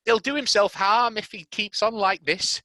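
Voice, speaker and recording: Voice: mid-range voice, moderate pace, pushed and bright, no echo, voice pushed up, close to an everyday voice. A storyteller voicing a worried household nurse who speaks in a pleading way. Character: anxious, relieved household nurse.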